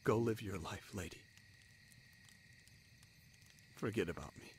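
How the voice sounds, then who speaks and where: A man playing an anguished, self-sacrificing young spider-folk lover in a romantic audio drama, halting and pleading in manner. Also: lowish voice, a little hiss or hum, close to an everyday voice. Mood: tender, heartbroken.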